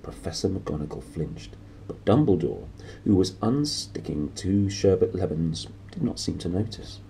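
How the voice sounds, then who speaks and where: A man reading a children's story aloud in an expressive narrating style, as a storyteller would. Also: sits low, moderate pace, gravelly, slight background noise, rough recording. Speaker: storybook reader.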